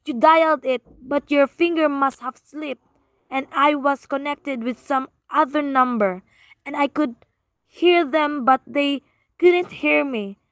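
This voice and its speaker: Woman acting high-pitched, fretful, imperious housewife. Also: a bit bright, no room sound. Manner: fretful, demanding, melodramatic. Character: frail, anxious, imperious wife alone at home. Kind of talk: old-time radio thriller acting.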